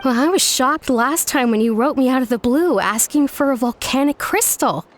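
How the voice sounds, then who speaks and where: A woman's voice: high voice, expressive, moderate pace, soft background music. A woman voicing a cheerful, eager ore enthusiast in a video game. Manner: bright, eager. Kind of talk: game voice acting.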